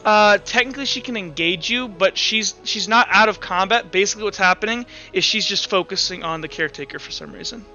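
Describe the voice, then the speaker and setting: A man working as game master for a tabletop roleplaying game, narrating off the cuff in a casual, halting way. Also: high-pitched, pushed and bright. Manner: casual, halting, uses filler words. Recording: dull lo-fi, music underneath. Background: background music.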